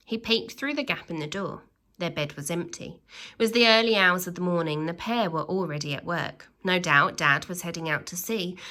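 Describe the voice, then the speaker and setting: A woman reading a children's story aloud expressively, with theatrical, drawn-out emphasis on key words. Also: lowish voice, pushed and bright.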